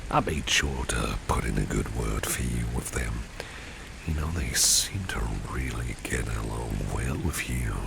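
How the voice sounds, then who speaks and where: A man playing a protective, flirtatious boss with courtly manners in an ASMR roleplay, speaking in a gravelly, breathy half-whisper. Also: extremely low pitch, heavily acted. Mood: flirtatious, admiring. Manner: slow, suave, courtly phrasing. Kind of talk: ASMR roleplay spoken to the listener. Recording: steady rain and street ambience noise. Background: rain falling, passing vehicles.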